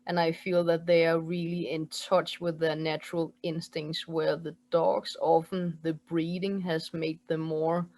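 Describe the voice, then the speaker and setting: A woman's voice: sits low, flat delivery, unhurried, few pauses, a little mellow. A woman from Denmark in her forties with a Danish accent, a medium and healer talking earnestly in a casual video-call interview, English as her second language. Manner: earnest, laughs often. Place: indoors at home.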